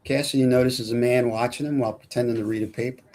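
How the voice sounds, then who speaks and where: A man's voice: medium pitch, steady tone, fast, a little mellow. A man narrating screenplay action lines at a film table read. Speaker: script narrator.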